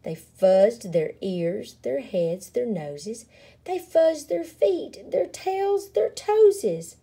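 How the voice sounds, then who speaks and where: A woman reading a children's picture book aloud in a dramatic, expressive way, with deliberate and playful emphasis. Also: fairly high pitch, gravelly, slightly rough. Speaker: story reader.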